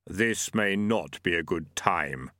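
A man voicing Death, a calm, skeletal male reaper who speaks in a patient, measured way. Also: deep voice, no echo, studio quality, full character voice.

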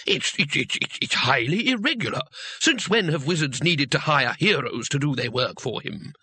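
A man's voice: medium pitch, very animated, moderate pace, pushed and bright, slightly rough, no echo, exaggerated voice. A man voicing a prickly, indignant wizard who sneers and sputters when challenged.

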